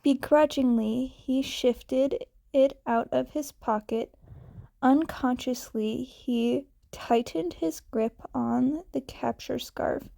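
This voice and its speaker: A woman's voice: high-pitched, slow, vocal fry, mellow. A woman narrating a scripted story as a fan fiction reader.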